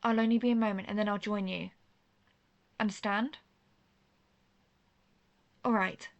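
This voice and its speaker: A woman's voice: medium pitch, fairly even intonation, a little slow, vocal fry, dull audio. A woman playing an intense, guarded vampire hunter, herself a vampire, in a scripted roleplay audio drama, speaking earnestly and formally straight to the listener. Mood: urgent, commanding. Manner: earnest, formal phrasing, addressing the listener directly.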